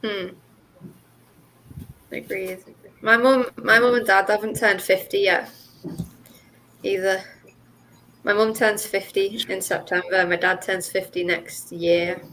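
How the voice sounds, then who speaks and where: A woman's voice: mid-range voice, steady tone, slow pace, long pauses, fairly bright tone, slight background noise, low-quality recording. Chatty young woman. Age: 20s. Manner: giggly, rambling, second-guessing herself. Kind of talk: casual chat on a group call.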